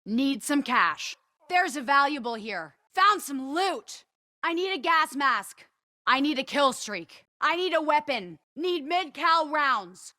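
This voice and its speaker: Bright, high-voiced cocky superhero soldier. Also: female voice, very animated, takes it slowly, no echo, studio quality, full character voice. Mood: alert, businesslike. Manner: punchy, commanding, swearing. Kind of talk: video game combat barks.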